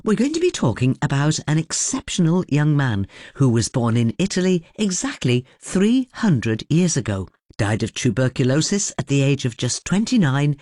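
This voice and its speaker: A presenter with a mellow tone leads an interview. The voice rises and falls a great deal, moving between scripted introductions and curious questions. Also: mid-range voice, big pitch swings, moderate pace. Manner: warmly inquisitive, scripted introductions. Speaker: radio presenter. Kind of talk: hosting an interview.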